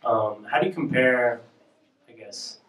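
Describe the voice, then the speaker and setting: A man hosts a campus Q&A, asking questions in a halting way full of "uh" and "um". His voice sits at a middle pitch. He sounds distant from the mic in a roomy, echoing hall. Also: a bit bright. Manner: halting, many "uh" and "um". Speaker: event host and interviewer. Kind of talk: hosting a campus Q&A.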